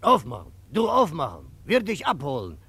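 A man's voice: a little high, wildly swooping pitch, moderate pace, pushed and bright, breathy edge, no echo, exaggerated voice. An actor playing a menacing foreign armed man who barks commands in broken German.

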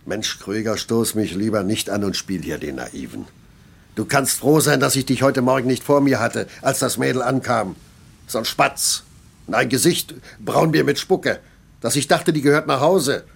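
An actor playing a blunt, sarcastic middle-aged factory master in a German radio play, gruff and colloquial. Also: male voice, medium pitch, moderate pace. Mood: reproachful, blunt. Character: grumbling, blunt older factory master, male.

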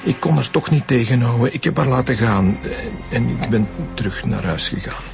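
A man's voice: medium pitch, expressive, normal speed, creaky, music in the background. A man playing a bitter, defensive middle-aged father under suspicion, with a Flemish accent, in a naturalistic radio drama. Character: defensive, bitter father suspected of killing his daughter.

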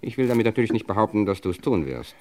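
An actor playing a cold, menacing gangster boss with a suave, domineering manner, in an old German crime radio play. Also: male voice, fairly low pitch, sing-song, quick pace, darkish tone. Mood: quietly menacing.

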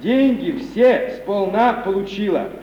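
An actor playing an obedient, slow-witted young merchant's son, reading aloud in a radio comedy. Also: male voice, sits high, animated, dark tone, slight background noise. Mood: dutiful. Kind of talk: 1950s radio theatre, halting reading aloud. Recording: muffled, distant, reverberant vintage radio recording.

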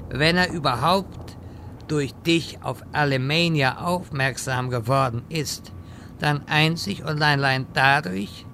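A man's voice: a little high, slow, pushed and bright, a little hiss or hum, exaggerated voice. A man playing an irritable, sardonic wizard who lectures his companions in a German audio drama parody. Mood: scornful. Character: exasperated, sarcastic male wizard guiding bumbling adventurers.

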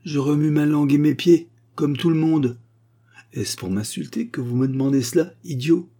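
A man's voice: medium pitch, normal speed, warm tone, husky, voice pushed up, close to an everyday voice. A man voicing an irritable ancient Egyptian mummy who scolds and insults in a raised voice. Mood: irritated. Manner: scornful, peevish scolding.

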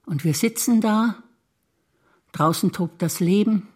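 An older woman from Bavaria, a stage actor, reciting memories in a measured, reflective way. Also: mid-range voice, darkish tone, breathy edge. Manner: measured, reflective, list-like delivery.

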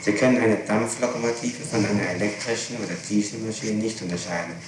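A man with a fairly low voice conducts a formal, insistent courtroom interrogation. He works methodically through his questions. The archival tape is heavily reverberant and clearly noisy, with him far from the microphone. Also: fairly even intonation, fast talker. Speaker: public prosecutor. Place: large echoing room, distant mic.

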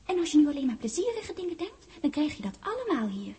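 An actress playing a lively, bossy ten-year-old girl in a childlike, chatty way, in 1960s Dutch radio drama style. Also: high-pitched, quick pace. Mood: bossy, fussing. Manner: childlike, chatty, searching for words. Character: lively, bossy little girl on her tenth birthday. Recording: husky-free vintage 1960s radio recording.

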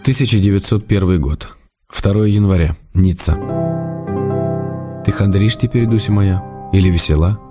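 An actor playing a middle-aged Russian writer, tender and wry, reading love letters aloud in a radio play. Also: male voice, sits low, natural voice. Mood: tender, earnest. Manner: affectionate, gently teasing, measured. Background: music.

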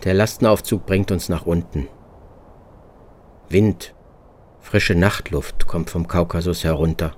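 A man's voice: deep voice, unhurried, pauses often, darkish tone, slight background noise. A man reading scripted first-person radio narration in terse, fragmentary phrases. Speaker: narrator of a radio travel piece. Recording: music behind the voice. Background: music.

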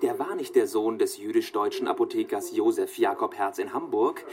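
A man's voice: lowish voice, normal speed, a crowd in the background, natural voice. A man playing a precise, needling researcher in a radio play, insistent and pedantic as he presses his revelations.

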